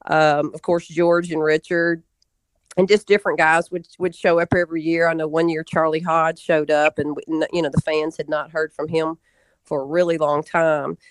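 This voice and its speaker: A woman's voice: sits low, flat delivery, moderate pace, no echo. A woman in her forties, an interview guest reminiscing conversationally. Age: 40s.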